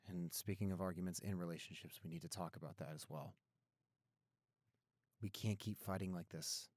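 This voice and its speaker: A man playing a caring, apologetic young boyfriend in an intimate roleplay audio, speaking earnestly and gently. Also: deep voice, no room sound, studio quality. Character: apologetic, caring young boyfriend soothing his upset girlfriend.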